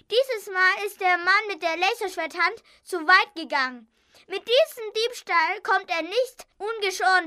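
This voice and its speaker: A child playing an excited, self-assured male secret agent in a children's radio play, declaiming the lines. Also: very high voice, no room sound, studio quality, heavily acted.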